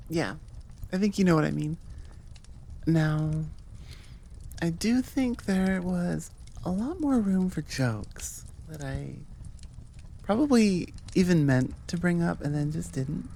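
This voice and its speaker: A woman's voice: low voice, gravelly, mellow, slight background noise. A woman chatting casually to her listeners as an audio roleplay creator, rambling in a jokey, self-deprecating way. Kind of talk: casual outro chat to listeners.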